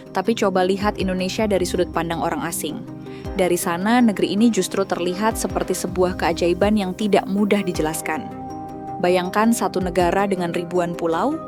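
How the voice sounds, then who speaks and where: Low-voiced woman narrating over music. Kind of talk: scripted documentary narration. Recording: music bed underneath.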